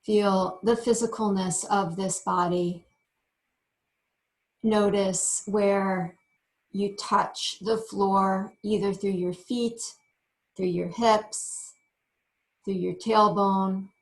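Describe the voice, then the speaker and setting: A woman teaching yoga meditation, giving gentle instructions in short phrases with frequent pauses. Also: mid-range voice, fairly even intonation, poor audio. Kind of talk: guided meditation.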